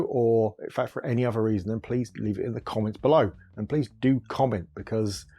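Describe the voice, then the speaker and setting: A man's voice: medium pitch, warm tone. A man hosting his own video channel, explaining things in a casual, earnest way, thinking aloud and restarting his sentences often. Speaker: retro computer video host. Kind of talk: casual explainer talk to camera.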